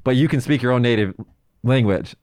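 A man's voice: mid-range voice, a little fast, darkish tone, no room sound. A man in casual conversation, talking bluntly and animatedly, often laughing.

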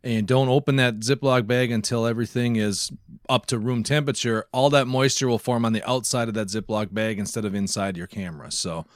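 A man talks casually as a podcast co-host in a close, dry recording. His voice sits at a medium pitch, and he jokes along, often laughing through his sentences. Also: fairly even intonation, fairly quick, no room sound. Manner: jokey, laughs often while talking.

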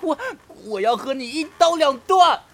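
A man's voice: extremely high pitch, lively intonation, normal speed, sharp tone, slight background noise, full character voice. A man playing a shy, jealous young fox spirit in a Chinese period audio drama, with a whiny, pouting, emotional delivery. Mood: jealous anger.

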